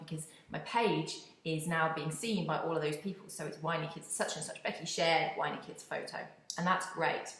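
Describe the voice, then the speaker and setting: A woman from the UK with a British accent, hosting a solo podcast in a chatty, expressive way with wry asides. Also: low-pitched. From United Kingdom. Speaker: podcast host. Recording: echoey room.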